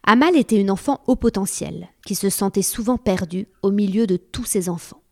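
A woman's voice: medium pitch, moderate pace. A woman hosting a podcast, reading a scripted introduction and then asking friendly, encouraging questions. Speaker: podcast host.

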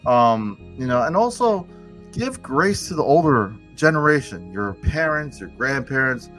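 A man's voice: medium pitch, sing-song, music in the background. Earnest man hosting a reflective talk. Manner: earnest, halting, restarts often. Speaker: podcast host. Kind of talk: reflective casual conversation.

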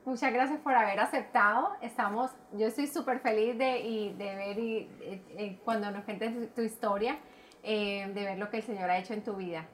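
A woman hosting a casual interview show, enthusiastic and quick to react, with many interjections of "wow" and "qué lindo". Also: medium pitch, fairly even intonation, a little hiss or hum. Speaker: host.

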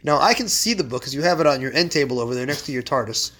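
A man hosting a podcast, slightly hoarse after an illness, chatting casually and reading fortune cards aloud with wry mock drama. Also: mid-range voice, animated, very fast, a bit bright. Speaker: podcast host. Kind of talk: casual chat. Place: home library.

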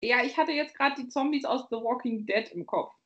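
High-voiced chatty woman, lo-fi sound. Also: normal speed, a bit bright. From Bavaria, Germany. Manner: chatty, laughs often, rambling. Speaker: podcast co-host. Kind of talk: casual chat.

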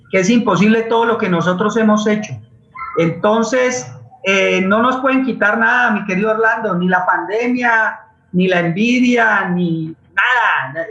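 A man's voice: high voice, sharp tone, roomy sound, muffled recording. A middle-aged man with a Colombian accent, speaking earnestly and emphatically in an impassioned conversational style as a guest at a radio forum. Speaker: playwright and community theatre leader, interview guest.

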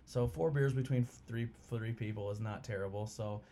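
A man hosting a casual podcast over beers, talking in a relaxed, rambling way with lots of fillers. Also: on the low side, normal speed, a little hiss or hum. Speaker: podcast host.

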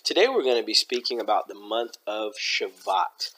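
A man with a slightly hoarse voice hosting an informal Bible study, explaining in a halting, explanatory way. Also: lowish voice, animated, pushed and bright. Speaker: host of a Bible study podcast. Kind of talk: informal religious teaching with scripture readings.

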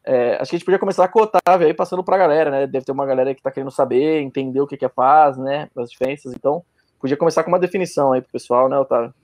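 A man hosting a livestream, chummy and quick, cutting in with asides to the guest. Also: mid-range voice, darkish tone. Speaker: livestream host.

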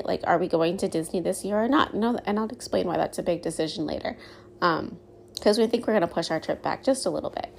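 A woman's voice: lowish voice, gravelly, darkish tone, slight background noise. A woman, hosting a casual solo podcast and talking candidly, with lots of "you know" and "um". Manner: chatty and candid, many fillers like "you know" and "um". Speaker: podcast host.

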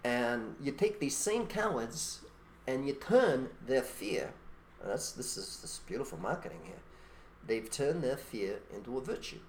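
Emphatic man with an animated, swinging delivery. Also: mid-range voice, unhurried, a little hiss or hum. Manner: emphatic, blunt, rhetorical. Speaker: podcast co-host. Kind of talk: opinionated conversational monologue.

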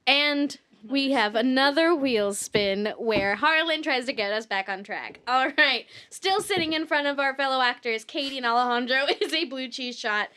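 A woman's voice: sits high, normal speed, bright, forceful tone. A woman hosting and narrating a casual comedy show in a playful, giggly manner, moving between chat and reading stage directions. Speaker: host and narrator.

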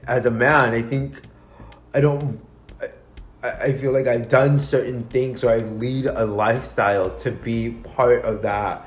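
A man's voice: medium pitch, steady tone, unhurried, roomy sound. A man in his thirties, a podcast co-host, talking candidly in casual chat, laughing often and swearing freely. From Mexican heritage. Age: 30s.

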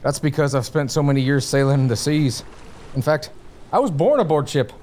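A man's voice: a little high, lively intonation, normal speed, darkish tone, a little hiss or hum, close to an everyday voice. A man playing a hearty, food-loving, teasing sailor who talks colloquially in a comic audio drama. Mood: hearty, jolly. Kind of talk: gothic comedy audio drama dialogue.

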